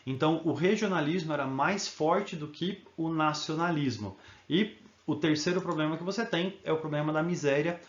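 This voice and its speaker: A man's voice: on the high side, normal speed, few pauses, roomy sound, muffled recording. A male history teacher giving a recorded video lesson, explaining in an informal way and often checking in with tag questions.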